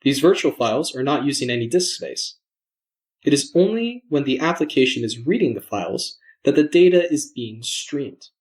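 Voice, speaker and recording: A man presenting a software demo in scripted narration, measured and explanatory, enunciating his words. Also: mid-range voice, animated.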